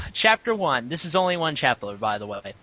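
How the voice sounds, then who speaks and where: A high-pitched man hosts a comic reading of fan fiction. His delivery is theatrical and swings widely, over a slightly dull lo-fi recording. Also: big pitch swings, normal speed, pushed and bright, no echo. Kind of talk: comic dramatic reading with casual asides.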